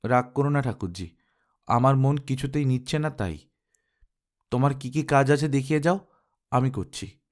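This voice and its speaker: A man's voice: medium pitch, moderate pace, pauses often, darkish tone, natural voice. A man voicing a wounded, strong-willed young daughter-in-law in a story reading, speaking bluntly and resolutely. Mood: defiant, resolute.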